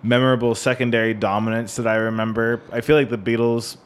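A man co-hosting a podcast, talking casually about music in a halting way as he searches for words. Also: mid-range voice, little pitch movement. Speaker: podcast co-host. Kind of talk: casual music discussion with some theory.

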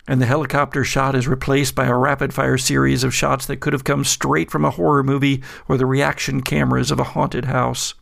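A man narrating a gothic tabletop horror game as game master, measured in description and hesitant when he turns to the players. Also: medium pitch, level tone, gravelly, fairly bright tone.

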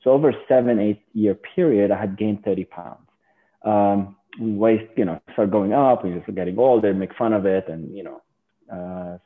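Man, doctor guest, halting and searching, lowish voice, phone-line sound. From Lebanon. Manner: halting, many fillers and restarts. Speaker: guest physician. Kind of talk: casual interview.

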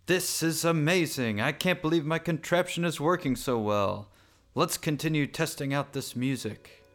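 A man playing a grandiose, excitable inventor in a radio drama, declaiming in a pompous, speechmaking way. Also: on the high side, normal speed, natural voice. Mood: pleased. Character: grandiose, excitable male inventor of a music-making machine. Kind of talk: scripted radio drama acting.

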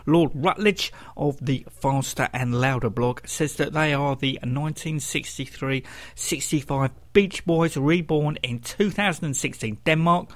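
A man's voice: a little high, measured pace. A man hosts a rock radio show, talking between records in a presenter's style. His delivery is that of a music DJ introducing tracks. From United Kingdom.

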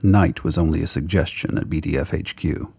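A man with a gravelly voice reading scripted dramatic story narration. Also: extremely low pitch, animated, fairly quick, warm tone.

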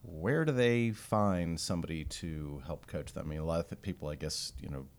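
A man's voice: sits low, normal speed. A man hosting an interview who asks conversational questions with many fillers. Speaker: interview host.

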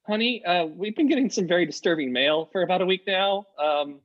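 A man improvising comedy scenes, halting and repeating his phrases. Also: high voice, lo-fi sound.

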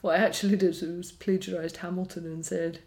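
A woman hosts a podcast in an eager, chatty way, laughing easily as she talks. Her voice sits a little low for a woman. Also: level tone, brisk, a little mellow. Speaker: podcast host. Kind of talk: casual podcast chat and interview.

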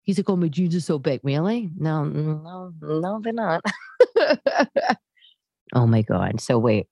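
A woman in her late thirties chats to her audience in a somewhat low voice, recorded dry with little room sound. Her delivery is casual and animated, like an unscripted podcast monologue. She is opinionated and direct, addressing listeners as if in conversation. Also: takes it slowly, slightly rough, no room sound, lo-fi sound. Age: late 30s. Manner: animated, conversational, acts out others' remarks. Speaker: podcast host.

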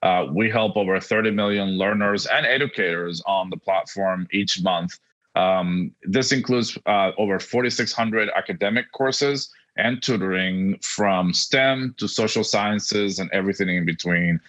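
A middle-aged man from Argentina, a native Spanish speaker, giving earnest, halting interview answers over dull, lo-fi audio. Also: deep voice, a bit bright. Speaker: podcast guest, education technology executive.

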